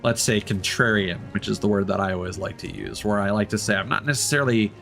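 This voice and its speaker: A man chatting casually as he livestreams a game, candid and reflective, in a halting, rambling way that often doubles back to correct itself. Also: on the low side, steady tone, creaky, a bit bright, soft background music. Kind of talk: casual streamer chat while gaming.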